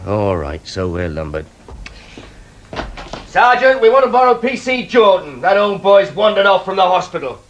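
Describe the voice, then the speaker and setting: An actor playing a weary, dry, curt British police inspector in a radio drama. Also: male voice, high-pitched, very animated, unhurried, pauses often, fairly bright tone, slight background noise, heavily acted.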